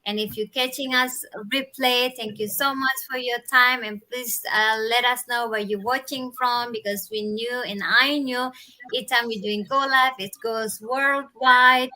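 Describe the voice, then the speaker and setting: Bright upbeat woman hosting a live stream on a video call, higher voice. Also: steady tone, measured pace, rough recording. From Indonesia.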